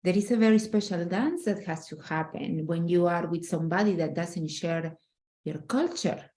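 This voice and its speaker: Woman, middle-aged, fairly low voice; earnest coach who restarts phrases. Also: moderate pace, dark tone. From Argentina. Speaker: relationship coach guest. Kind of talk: casual interview conversation.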